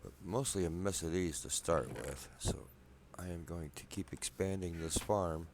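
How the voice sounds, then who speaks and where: A man quietly rambling through casual gameplay commentary in his apartment at night, a bit wheezy from a cold, soft-spoken and self-deprecating. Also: low voice, normal speed, a little hiss or hum. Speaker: gameplay video maker. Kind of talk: casual let's play commentary.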